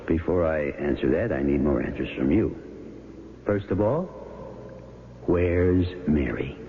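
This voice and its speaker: An actor playing a steady small-town police sheriff who questions in a measured way, in 1970s radio drama style. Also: male voice, rumbling low, vocal fry, a little hiss or hum, exaggerated voice. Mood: grave, probing. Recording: tinny band-limited old radio broadcast, faint music.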